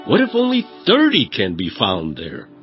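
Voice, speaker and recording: An actor playing a very old, humble patriarch who pleads earnestly and reverently in a dramatized scripture. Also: male voice, fairly low pitch, huge pitch swings, dull audio, soft background music. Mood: humble pleading. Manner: earnest, deferential, drawn-out pleading.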